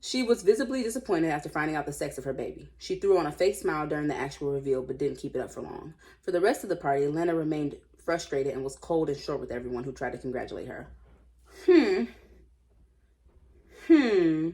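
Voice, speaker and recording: A woman reading aloud and commenting casually, with an informal, opinionated, slangy way of musing out loud. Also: low-pitched, expressive, brisk. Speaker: host reading and commenting on online stories.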